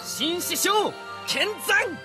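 A man voicing a brash, boastful young sword warrior for an anime-style game, youthful and husky-edged, with cocky, casual boyish speech. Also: very high-pitched, lively intonation, drawn-out words, music in the background, full character voice. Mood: cheerful, proud. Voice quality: youthful, bright, husky edge.

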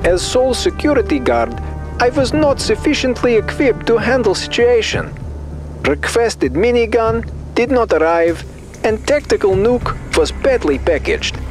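A pedantic security guard files formal grievances in a video game. His voice is high and lively, and he leaves out articles as he goes. Music plays behind him. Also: unhurried. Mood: pedantic, aggrieved. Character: pedantic, aggrieved male oil rig security guard. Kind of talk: recorded complaint log in a video game.

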